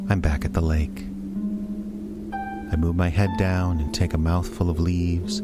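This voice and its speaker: Dazed office man telling his story in a radio play, really deep voice, deliberate little phrases, music under it. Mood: calm wonder. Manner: halting, deliberate short phrases. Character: dazed office man haunted by a moose, slow and hushed. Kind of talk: radio play first-person monologue.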